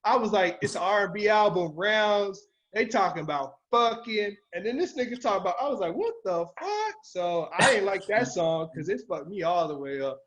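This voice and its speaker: Male panelist with a notably high voice, exclamatory, sweary review banter, dull lo-fi podcast sound. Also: expressive, normal speed, hardly any pauses, fairly bright tone. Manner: blunt, heavily profane, slangy, exclamatory. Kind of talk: casual album review banter.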